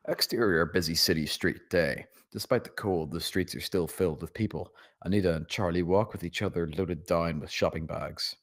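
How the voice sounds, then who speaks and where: A man's voice: sits low, moderate pace, few pauses. A man reading out stage directions as narrator at a script table read.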